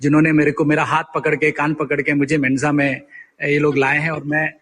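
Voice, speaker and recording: A man's voice: a little high, little pitch movement, fairly quick, a bit bright. A middle-aged man answering as a guest writer in a conversational interview, hesitantly searching for his words.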